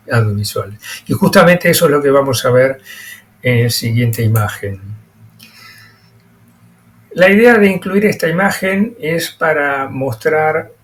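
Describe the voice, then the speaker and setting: A man presenting an explanatory lecture over slides, speaking haltingly with frequent pauses and repeated words. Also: medium pitch.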